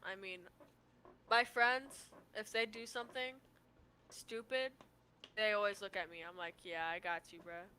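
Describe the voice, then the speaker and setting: A woman's voice: medium pitch, steady tone, unhurried, pushed and bright, slight background noise. A young American woman telling stories in casual chat, with a slangy, sweary, rambling manner. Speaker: livestreamer.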